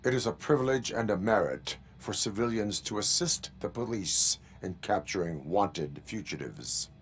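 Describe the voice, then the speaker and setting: An actor playing a stoic, rigidly lawful cyborg police officer in a video game, speaking in clipped, formal, deadpan phrases. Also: male voice, fairly low pitch, normal speed. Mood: stern, questioning. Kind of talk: video game cutscene acting.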